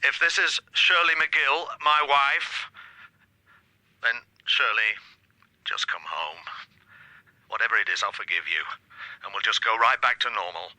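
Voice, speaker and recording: A man's voice: fairly low pitch, animated, a little slow, pauses often, bright, forceful tone, no echo, full character voice, rough recording. An actor playing a controlling, self-pitying husband in a radio drama, pompous and patronising in his talk. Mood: self-satisfied pleading.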